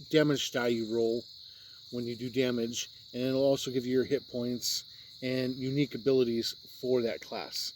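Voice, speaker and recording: A man's voice: medium pitch, darkish tone, poor audio. A man with a mid-range voice gives an unscripted review. He explains things plainly, one step after another, and sometimes repeats himself. A light layer of background noise sits behind his voice. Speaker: tabletop game reviewer.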